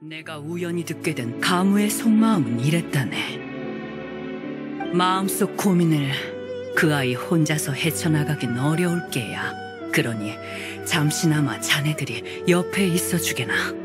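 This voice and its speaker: Theatrical, courtly old immortal. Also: male voice, fairly high pitch, animated, loud music behind the voice. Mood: kindly, concerned. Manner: old-fashioned elderly speech, poetic and measured. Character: elderly adeptus, courtly, kindly, theatrical storyteller. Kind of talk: video game voice acting.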